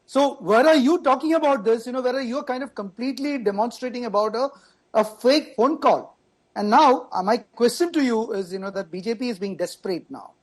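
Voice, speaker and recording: A man's voice: very high voice, fairly quick, rough recording. A party spokesperson with an Indian English accent, arguing insistently on a TV panel debate and repeating words as he presses his point.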